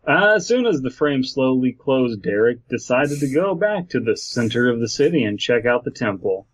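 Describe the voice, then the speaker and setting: A man's voice: mid-range voice, big pitch swings, moderate pace, husky, no room sound. A man hosting a podcast, reading a horror story aloud and wandering off into jokey asides. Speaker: podcast host.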